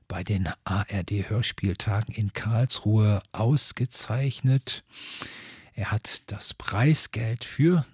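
Husky, deep-voiced male radio host. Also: a little mellow, dry recording, studio quality. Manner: formal, addresses guests politely. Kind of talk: award ceremony moderation.

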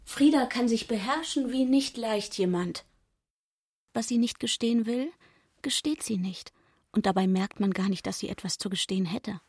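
Young barmaid, husky high voice, gossipy, confiding, complaining, radio drama. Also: female voice, normal speed, darkish tone. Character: young barmaid, former chambermaid, frank and gossipy. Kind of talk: radio play acting.

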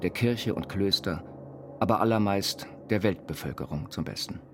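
A man playing an earnest, dreamy inventor confined to an institution, reading his writings in a deliberate, formal way. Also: low voice, noisy background, close to an everyday voice. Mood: solemn recollection. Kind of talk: scripted literary reading over music. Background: music.

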